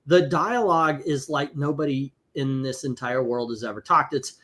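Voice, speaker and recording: A man's voice: mid-range voice, normal speed, fairly bright tone. Wry man in his fifties. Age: 50s. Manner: wry, reads comic dialogue aloud mockingly. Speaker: comic book podcast host. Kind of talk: casual chat.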